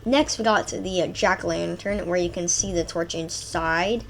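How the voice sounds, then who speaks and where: A woman with a stuffy nose from a cold, chatting excitedly through a gameplay video, rambling through lists with fillers. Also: lowish voice, normal speed, a little hiss or hum. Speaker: gaming channel host. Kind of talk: casual gameplay commentary.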